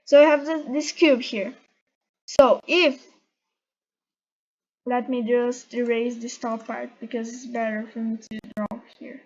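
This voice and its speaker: A high-voiced woman from Brazil speaks English as she explains math symbols. She talks slowly and haltingly and keeps correcting herself. The home recording sounds dull and lo-fi. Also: steady tone, darkish tone. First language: Portuguese. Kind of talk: unscripted explanation while drawing.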